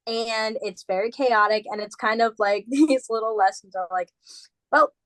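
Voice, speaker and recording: A woman's voice: on the high side, normal speed, a bit bright, dry recording, soft background music, low-quality recording. A woman co-hosting a casual podcast, getting over an illness, chatting loosely with lots of "like" fillers. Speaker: podcast co-host.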